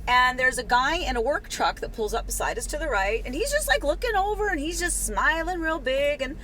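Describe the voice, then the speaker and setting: A woman with a raspy voice, a real estate agent chatting casually while driving, telling stories. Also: sits high, brisk. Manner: chatty, animated storyteller. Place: inside a moving car. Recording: car road noise behind.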